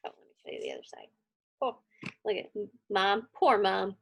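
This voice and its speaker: A woman's voice: medium pitch, wildly swooping pitch, moderate pace, gravelly, dull audio, a little hiss or hum. A woman reading a children's story aloud expressively, with dramatic emphasis and chatty asides. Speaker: storybook reader. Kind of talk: animated children's read-aloud with conversational asides.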